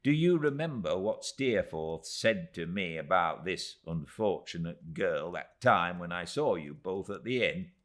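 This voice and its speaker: A man's voice: low-pitched, lively intonation, measured pace, few pauses. A man reading a storybook and voicing a tiny, emphatic, indignant middle-aged woman in distress. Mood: urgent.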